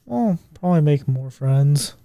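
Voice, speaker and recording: A man in his twenties co-hosting a casual podcast chat, swearing freely, his voice jumping high when he gets indignant. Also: animated, a little slow, gravelly, warm tone, dry recording. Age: 20s. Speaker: podcast co-host.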